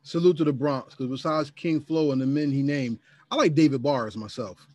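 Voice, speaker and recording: A man's voice: mid-range voice, normal speed, darkish tone, dry recording. A man hosting a podcast, asking casual questions in an easygoing way, often stumbling and repeating words. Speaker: podcast host.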